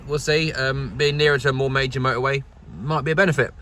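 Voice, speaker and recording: A man's voice: medium pitch, little pitch movement, fast, sharp tone, slight background noise. A British man with a mid-range voice talks casually to camera as a hobbyist host. His delivery is conversational and wry, with understated humour. He is speaking from inside a parked pickup truck. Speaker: radio hobbyist vlog host. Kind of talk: casual vlog chat to camera.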